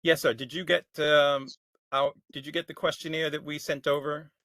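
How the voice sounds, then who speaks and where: A man chairing a public board meeting on a video call, with a bright, slightly high voice and a dry sound. He is polite and formal, calls people sir, and often stops to restart his sentences. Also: little pitch movement, normal speed, no echo. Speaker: committee chair.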